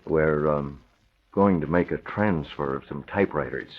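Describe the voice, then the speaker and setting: A methodical American masked crime-fighter in a vintage radio serial. The voice is very low and husky, calm and in command, on a dull recording. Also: male voice, moderate pace. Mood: decisive, calm. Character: commanding male masked vigilante, cool, methodical. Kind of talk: 1940s radio acting.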